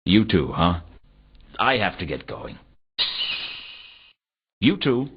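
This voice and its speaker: A dull, lo-fi game recording of a world-weary male detective. His low voice swings widely in pitch as he delivers sardonic, deadpan noir lines. He is a hardboiled investigator from a fantasy adventure game. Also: takes it slowly. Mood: sardonic, probing. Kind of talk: video game dialogue and noir narration. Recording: dull lo-fi game audio, faint noise.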